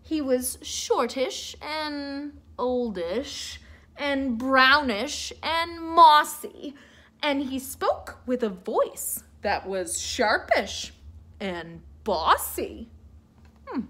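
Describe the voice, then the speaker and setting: Lady doing kids storytime, high bright voice, takes her time, really playful. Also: animated, takes it slowly. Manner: theatrical, playful, coaxing listeners to join in. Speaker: teacher reading a picture book to children. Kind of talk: animated children's storytime reading.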